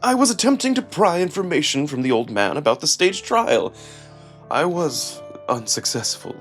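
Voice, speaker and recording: Man as sly male jackal, smug, haughty, precise, swooping pitch, visual novel drama, music. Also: sing-song, moderate pace, fairly bright tone. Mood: defensive.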